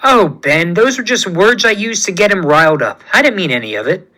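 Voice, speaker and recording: A man voicing a feisty young heroine with a sharp, taunting tongue. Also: sits high, lively intonation, brisk, low-quality recording. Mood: sassy. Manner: taunting, sassy. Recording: music playing underneath.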